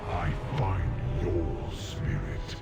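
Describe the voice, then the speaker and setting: An actor voicing a huge, menacing dark overlord in a video game, ponderous and coldly contemptuous. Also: male voice, rumbling low, very animated, extremely slow, vocal fry, loud music behind the voice, heavily acted. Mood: cold contempt. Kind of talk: video game villain acting.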